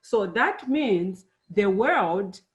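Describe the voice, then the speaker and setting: A middle-aged woman born and raised in Zambia, giving a friendly, unhurried presentation to students, with many fillers. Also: medium pitch, expressive, lo-fi sound. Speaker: engineer giving a talk to students.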